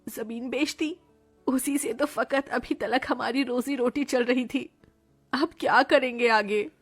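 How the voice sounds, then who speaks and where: A woman voicing a caring, devout, poor village aunt in a cartoon story, with expressive, homely speech. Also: high-pitched, measured pace, faint music behind. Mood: alarmed. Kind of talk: Hindi cartoon story dialogue.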